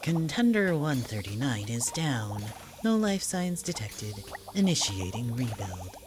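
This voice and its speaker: A man's voice: mid-range voice, sing-song, unhurried, music in the background. A man playing an impersonal arena announcer system in a fan dub, with clipped, procedural delivery.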